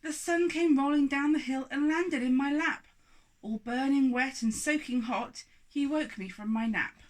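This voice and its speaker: A woman's voice: sits high, unhurried, voice pushed up. A woman voicing a flustered talking sofa, whining and pleading in a children's storybook. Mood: distressed. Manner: whiny, pleading.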